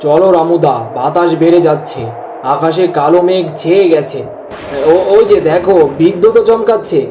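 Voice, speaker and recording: A man's voice: a little high, normal speed, noisy background, natural voice. A man voicing an anxious village farmer in a cartoon, fretful and respectful toward his companion. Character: anxious village farmhand friend, urging haste.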